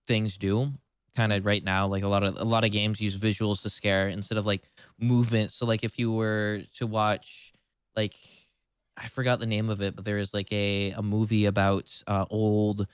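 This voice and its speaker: A man's voice: fairly low pitch, level tone, moderate pace, smooth, no room sound, clean studio sound. A man in casual livestream chat as co-host, rambling with lots of "like" and casual swearing. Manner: rambling, heavy use of "like"; casually foul-mouthed.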